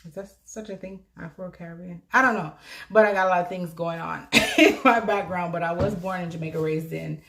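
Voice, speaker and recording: A woman's voice: on the low side, fairly even intonation, brisk, creaky, a bit bright. A woman from South Florida, a notary business mentor, chatting live with viewers in an upbeat way and laughing often. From South Florida, USA. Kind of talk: live-stream chat answering viewers.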